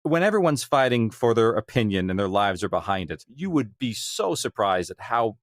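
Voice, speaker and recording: A man's voice: fairly low pitch, very animated, normal speed, no room sound. A man being interviewed as a guest, talking conversationally about his creative work. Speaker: guest, audio drama creator.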